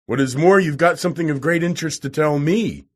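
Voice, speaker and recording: A man's voice: a little high, brisk, voice pushed up, natural voice. A man reading aloud who voices a hot-tempered, blustering older professor with a roaring manner. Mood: scornful.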